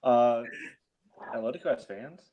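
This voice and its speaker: A man's voice: mid-range voice, normal speed, lo-fi sound. A man co-hosting a casual sports chat, rambling a little with hesitant, wry understatement. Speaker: podcast co-host.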